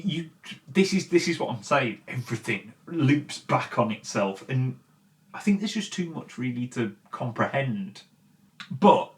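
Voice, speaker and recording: A man hosting a commentary show, talking in a casual, opinionated rant with emphatic, exasperated delivery and frequent swearing. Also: mid-range voice. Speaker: host of a film and game commentary show. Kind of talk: opinionated video essay rant, casual and conversational.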